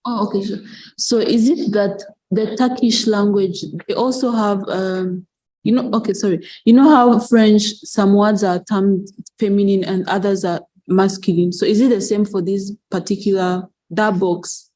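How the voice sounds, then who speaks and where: A woman's voice: mid-range voice, steady tone, a little slow, mellow, rough recording. A woman student asking questions in class, tentative and searching for words.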